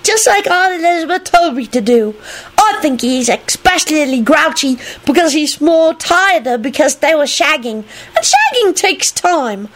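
A woman's voice: high-pitched, very animated, normal speed, pitched above the performer's own voice. A woman voicing a self-important young boy who chatters bluntly in a storybook reading. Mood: lofty, chatty.

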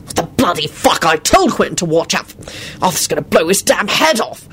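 A woman voicing a blunt adult wizard man who curses freely, in a lowered voice. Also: big pitch swings, brisk, darkish tone, slightly rough, heavily acted. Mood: alarmed. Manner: blunt, cursing.

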